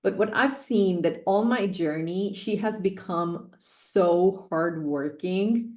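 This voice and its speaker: A woman in her forties speaks as a podcast guest, her voice sitting a little low. She talks earnestly and repeats her key phrases to drive them home. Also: slow, darkish tone, roomy sound. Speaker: guest, sales coach. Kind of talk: personal story in a podcast interview.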